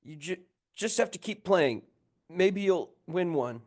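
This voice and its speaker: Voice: medium pitch, lively intonation, unhurried, darkish tone. An actor voicing a cheeky ten-year-old boy who stammers, in a script table read. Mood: cheeky, earnest.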